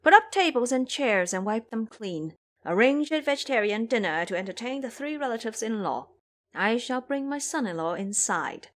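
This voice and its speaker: A woman performs a matronly mother-in-law from an audio drama. She is shrewd and bustling, giving fussy commands with a very lively, varied pitch. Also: normal speed. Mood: commanding.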